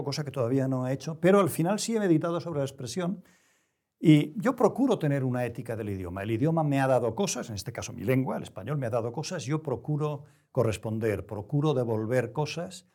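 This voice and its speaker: A middle-aged man who is a writer, talking reflectively in an interview, choosing his words with pauses and restarts. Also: fairly high pitch, dark tone. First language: Spanish. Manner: measured, searching for words, frequent restarts. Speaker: novelist, interview guest.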